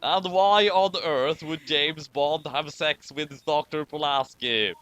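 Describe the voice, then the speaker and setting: A man reading comic fan fiction aloud with exclamatory, animated delivery and amused asides. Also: on the high side, takes it slowly, no echo.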